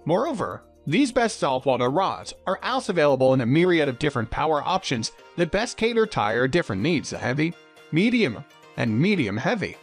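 A man's voice: fairly high pitch, very animated, fairly quick, faint music behind. A man reading a scripted product review as narrator.

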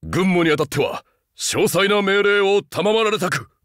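A man's voice: sits high, animated, a little slow, a bit bright, no room sound, studio quality. A man voicing a huge, loyal, humble beast-man soldier in a game, haunted and earnest, speaking with stiff archaic military formality. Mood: stiff, dutiful. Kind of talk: Japanese game character voice acting.

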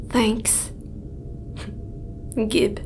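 A woman playing a reserved, homesick astronaut scientist in an audio drama, speaking in a terse, subdued way. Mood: tense, subdued. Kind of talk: naturalistic audio drama acting. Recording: faint rumbling background noise.

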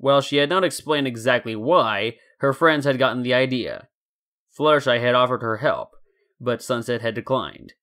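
Guy narrating a fanfic, medium pitch, deliberate dramatic storyteller delivery. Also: animated, a bit bright. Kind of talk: scripted fan fiction narration.

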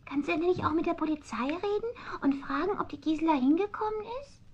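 A woman's voice: very high-pitched, quick pace, darkish tone. A woman playing a nosy, cheeky talking tomcat in a children's radio play, with an inquisitive, childlike, persistent manner. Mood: insistent. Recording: dull old vinyl record transfer.

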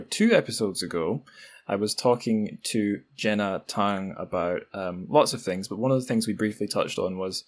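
A male podcast host with a fairly low voice discusses books in a thoughtful way. He feels his way towards the right word, reflective and conversational.

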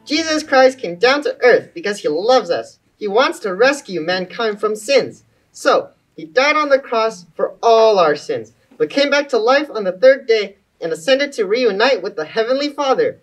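A woman's voice: a little high, sing-song, moderate pace, few pauses, rough recording. A woman voicing an earnest, friendly puppet who preaches the gospel in a scripted children's show. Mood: earnest, reassuring.

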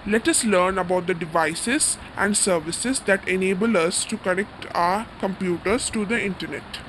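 High-voiced male textbook reader. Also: normal speed, a bit bright, slight background noise, poor audio. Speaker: presenter reading a school textbook. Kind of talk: read-aloud lesson.

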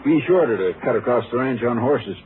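An actor playing a plain-spoken, helpful county sheriff with a folksy manner in a 1950s radio western. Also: male voice, mid-range voice, expressive, brisk, lightly acted. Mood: matter-of-fact. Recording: narrow, faintly noisy old radio recording.

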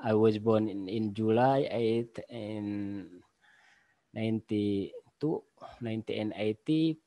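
Indonesian guy, 40s, talking real slow on a laptop call, halting, keeps saying uh. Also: fairly low pitch, mellow, no room sound. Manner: halting, many fillers and restarts. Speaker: university lecturer presenting a paper. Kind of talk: conference presentation. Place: home office with bookshelves. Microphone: laptop webcam on video call.

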